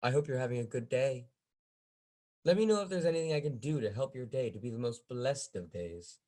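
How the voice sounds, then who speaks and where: A man voicing a pushy, sinister high priestess witch with a sweetly imperious manner in a script table read. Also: lively intonation, fairly quick, mellow, natural voice. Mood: syrupy sweet.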